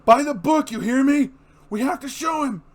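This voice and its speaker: A man's voice: very high-pitched, moderate pace, voice pushed up. A man playing a shaken but principled police commissioner in a comic book dramatic reading, anguished and insistent.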